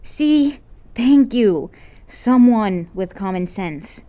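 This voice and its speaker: A woman voicing a loud, dramatic teenage girl in a story reading, whining and exclaiming theatrically. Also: a little high, lively intonation, very slow, pitched above the performer's own voice. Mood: demanding. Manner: exclamatory, pleading, theatrically exaggerated. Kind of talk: acted dialogue in fan fiction reading.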